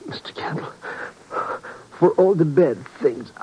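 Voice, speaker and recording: An actor playing a self-pitying wounded rogue, halting and melodramatic, in a 1950s radio drama. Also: male voice, on the high side, animated, lo-fi sound. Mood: weak, plaintive. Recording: noisy old radio broadcast recording.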